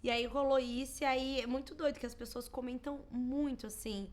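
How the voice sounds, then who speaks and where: A Brazilian woman in her thirties chats casually as a podcast co-host. Her voice sits a little high, and her storytelling is animated, with quoted lines acted out. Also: moderate pace. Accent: Brazilian Portuguese. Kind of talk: casual chat.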